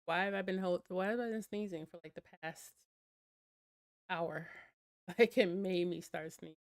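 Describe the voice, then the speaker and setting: A woman's voice: fairly low pitch, normal speed, no room sound, studio quality. A young woman streaming a game, chatting casually and rambling with self-mocking asides while nursing a slight cold. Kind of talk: casual live-stream chat while gaming.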